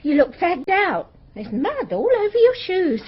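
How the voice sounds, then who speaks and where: An actress playing a brisk, kindly, homely woman in a radio drama, chatty and matter-of-fact. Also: very high voice, big pitch swings, exaggerated voice. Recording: dull radio drama recording.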